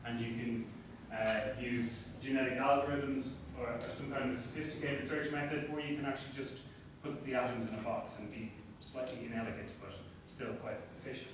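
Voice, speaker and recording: A young Irish man giving an academic talk as a lecturer, relaxed and self-deprecating, with frequent fillers. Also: mid-range voice, moderate pace, hardly any pauses. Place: seminar room. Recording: echoey room from far off, noisy.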